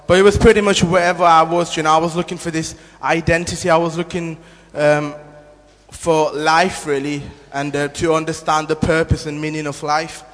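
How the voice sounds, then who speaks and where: A man in his twenties from Nepal, giving a church testimony in an earnest, halting way with many fillers and restarts. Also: on the high side, little pitch movement, a bit bright, soft background music. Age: 20s. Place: church hall. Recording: long hall reverb.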